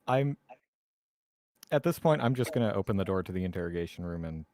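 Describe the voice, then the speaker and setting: A man's voice: sits low, expressive, fairly quick, a little mellow, no room sound, studio quality. A man playing a tabletop roleplaying game, talking casually in and out of character.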